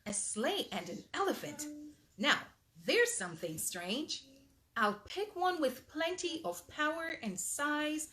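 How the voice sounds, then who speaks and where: A woman's voice: high voice, takes it slowly. A woman hosting a storytime, reading a picture book aloud expressively to children with patient, motherly asides. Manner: animated, patient parental asides.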